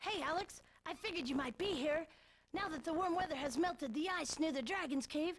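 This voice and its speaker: A woman's voice: high voice, moderate pace. A woman voicing an eager young adventurer. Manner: chatty, laughing through retakes. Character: friendly young adventurer greeting a companion. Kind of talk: game voice-over recording session.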